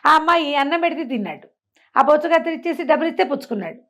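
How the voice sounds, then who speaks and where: A woman's voice: high voice, lively intonation, racing, a bit bright. A woman telling a folk tale aloud in a conversational way, acting out bits of dialogue for a listener. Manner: conversational, acts out dialogue, checks listener follows. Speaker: storyteller. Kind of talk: animated oral storytelling of a moral folk tale to a listener.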